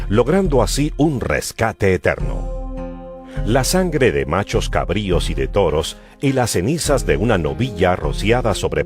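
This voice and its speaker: A man giving a solemn, emphatic dramatized narration of scripture as a Bible narrator. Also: lowish voice. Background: music.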